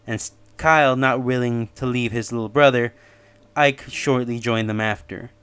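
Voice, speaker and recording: A man's voice: mid-range voice, steady tone, moderate pace, fairly bright tone, clean tone, no room sound. A man giving casual, rambling explanatory commentary as the host of a theory video. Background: music under the voice.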